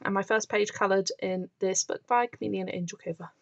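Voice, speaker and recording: A woman chats warmly through her finished colouring pages in a casual show-and-tell. Her middle-pitched voice has a gravelly fry and is starting to crack from long talking. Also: fairly even intonation, fairly quick, muffled recording. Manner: chatty, enthusiastic, explains her process. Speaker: colouring channel host. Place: indoors at a table, filming books from above.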